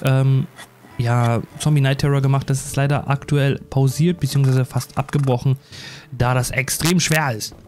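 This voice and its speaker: A man's voice: medium pitch, a little mellow. A man doing casual, rambling let's play commentary in a self-deprecating, chatty way. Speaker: gaming YouTuber.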